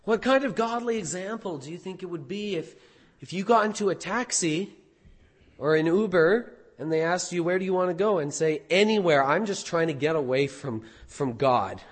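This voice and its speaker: A hoarse man preaching a sermon as pastor, animated, with rhetorical questions. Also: sits high, moderate pace, long reverb tail.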